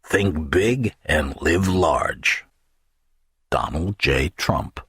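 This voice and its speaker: Male audiobook narrator, deep and raspy, wry theatrical read of a satirical first-person novel. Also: animated, very slow, a bit bright, dry recording, clean studio sound. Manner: wry, dramatic delivery.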